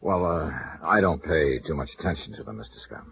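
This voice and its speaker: A man's voice: a bass voice, animated, brisk, creaky, background noise, heavily acted. An actor playing a wry, cool-headed male freelance insurance investigator who also narrates, in a 1950s radio drama. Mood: dry. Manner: dry, laconic, clipped replies. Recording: muffled vintage radio broadcast with music behind.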